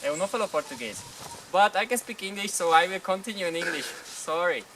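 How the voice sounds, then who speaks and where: A young man with a Hungarian accent chats outdoors in the mountains, excitable and quick to laugh, his learner English halting. His voice is high and swings widely. He sits away from the mic, with loud noise behind him. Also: far from the mic. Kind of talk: casual vlog chat in English.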